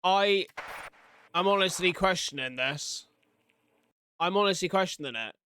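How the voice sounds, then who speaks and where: A man's voice: sits high, measured pace, background noise. A man giving casual, informal reaction commentary as a video host, interjecting with incredulous remarks.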